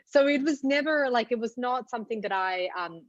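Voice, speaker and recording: A woman's voice: a little high, animated, a little fast, no echo, lo-fi sound. A woman in her thirties with an Australian accent, answering interview questions reflectively with many fillers and restarts. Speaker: guest.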